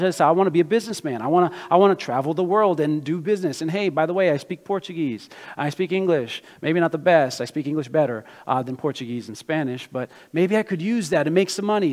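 A man born in Brazil and raised in the United States, preaching a sermon as he tells his own story and builds to emphatic, loud passages. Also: a little high. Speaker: pastor. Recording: long hall reverb.

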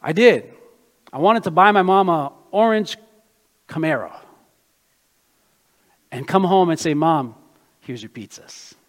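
A man preaching a sermon as pastor, born in Brazil and raised in the US, telling personal stories in an animated way. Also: on the high side, a little slow, pauses often. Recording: long hall reverb.

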